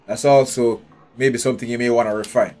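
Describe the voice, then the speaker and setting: A man's voice: medium pitch, steady tone, a little fast, dark tone. Blunt, profane male game streamer. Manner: blunt, profane, thinking aloud; nitpicking, questioning everything. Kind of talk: live gameplay commentary. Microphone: headphones with a desk mic. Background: faint game music.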